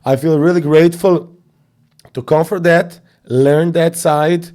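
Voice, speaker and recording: A young man from Serbia, a boxing coach, chatting casually as an interview guest in animated storytelling fashion. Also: fairly high pitch, a little slow. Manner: animated storytelling with slang fillers.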